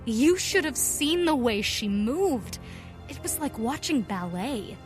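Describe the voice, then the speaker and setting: A woman's voice: high-pitched, big pitch swings, slow pace, heavily acted. A woman acting a bold, earnest, headstrong young vigilante in a pulp audio drama. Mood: admiring. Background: music.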